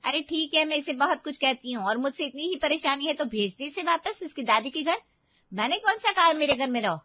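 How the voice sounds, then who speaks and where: A woman's voice: high-pitched, expressive, a little fast, highs cut off, pitched above the performer's own voice. A woman voicing a spiteful old village grandmother in a story, scolding and sarcastic. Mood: defensive, indignant.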